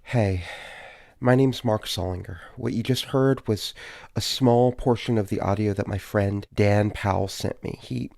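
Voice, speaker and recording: A sombre narrator addressing the listener in an audio drama. His voice is lowish with heavy creak, recorded dry and without room sound. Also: unhurried, a little mellow, dry recording. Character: sombre male friend presenting found tapes.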